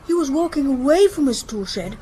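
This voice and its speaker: A woman's voice: sits high, expressive, brisk, dark tone, slight background noise. A woman playing a shy, timid schoolboy who answers politely and hesitantly in a radio drama. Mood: earnest, guarded.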